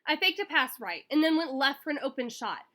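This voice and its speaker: A woman reading a children's picture book aloud in an expressive, animated way that acts out the story. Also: sits high, fairly quick. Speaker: school staff member reading aloud.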